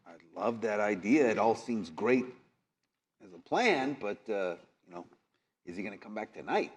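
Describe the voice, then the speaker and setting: A man who plays a tabletop game, talking in character in a halting way. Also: on the low side, lively intonation. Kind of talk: improvised in-character game chat.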